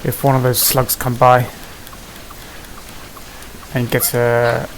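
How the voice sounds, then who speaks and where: A man's voice: medium pitch, monotone, takes it slowly, slight background noise, poor audio. A man giving casual, hesitant gameplay commentary, muttering to himself as he plays. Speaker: gamer narrating own play. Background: game music and sound effects.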